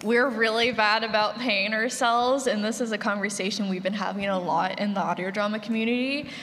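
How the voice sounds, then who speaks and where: A woman's voice: mid-range voice, fairly even intonation, fairly quick, a bit bright. A woman on a panel giving direct, plain-spoken advice in a reverberant room. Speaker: panelist, podcast producer. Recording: echoey noisy hall with room ambience.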